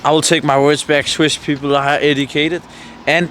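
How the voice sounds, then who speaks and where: A man's voice: a little high, flat delivery, normal speed, a bit bright. A man chatting casually as a vlogger, rambling a bit hesitantly and putting questions to passers-by outdoors. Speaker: vlogger, street interviewer. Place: outdoors by a road in a mountain valley. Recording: windy, noisy outdoor roadside.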